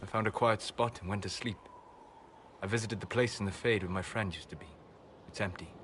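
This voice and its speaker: Grave male elf mage , actor-played, video game: measured, protective, solemn, over background ambience. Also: lowish voice, steady tone, a bit bright, a little hiss or hum, close to an everyday voice. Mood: quiet sorrow. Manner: measured, solemn, deliberate phrasing. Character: grave elven mage, grieving and righteous, fiercely protective.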